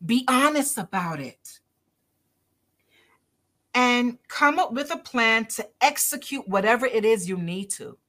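A woman's voice: a little high, expressive, a little slow, pauses often, sharp tone. Earnest middle-aged woman speaking emphatically. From Barbados. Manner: earnest, emphatic, repeats key phrases. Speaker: doctor and podcast guest. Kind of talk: conversational interview.

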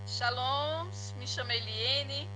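A woman from southern Brazil, giving a soft listener testimonial. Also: sits high, takes it slowly, a little hiss or hum, low-quality recording.

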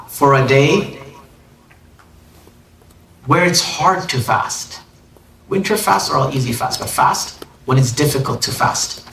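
A man leads a religious lesson, switching between quick recited Arabic and relaxed spoken explanation. He sits back from the microphone in a reverberant room with a faint hiss underneath. His pitch is middle-of-the-road for a man. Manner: conversational, breaks into brisk Arabic recitation. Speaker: religious teacher. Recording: echoey room, distant mic, faint noise.

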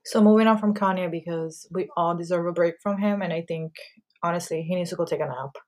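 A woman's voice: fairly low pitch, brisk, darkish tone. Chatty thirties woman gossip podcaster. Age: 30s. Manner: chatty, full of "like" fillers and casual swearing. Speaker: podcast host. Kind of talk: casual gossip podcast monologue.

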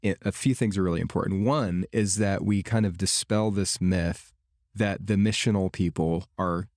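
A man with a low voice hosts a podcast and builds his point step by step. He sounds thoughtful and conversational. The recording is dry and close. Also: moderate pace, darkish tone, no room sound. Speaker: podcast host.

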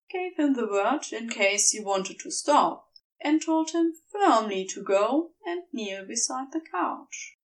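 A woman reads fiction aloud, set slightly back from the microphone. Her high voice moves with lively, expressive swings of pitch, while the delivery stays slow and measured, like careful audiobook storytelling. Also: recorded from a distance, low-quality recording. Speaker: fan fiction audiobook reader. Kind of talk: scripted story narration.

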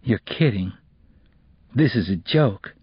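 A man voicing a proud, sharp-tongued, indignant teenage girl in an audiobook, with terse, scornful retorts. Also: lowish voice, lively intonation, slow, husky, no echo, lo-fi sound, full character voice. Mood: incredulous.

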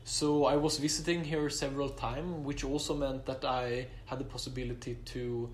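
A man answers interview questions in English, which he learned as his third language. He speaks in a measured, hesitant way, with frequent fillers and restarts. There is a faint hum of background noise. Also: medium pitch, flat delivery. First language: not English; English is his third language. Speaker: interviewee, Oxford applicant.

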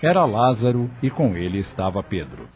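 A man narrating a radio drama in a measured, steady way. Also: lowish voice, dull audio. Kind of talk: scripted narration.

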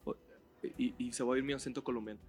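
A man with a Mexican accent, co-hosting a casual book chat, explaining his points in a measured way. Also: mid-range voice, steady tone, music in the background. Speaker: podcast co-host.

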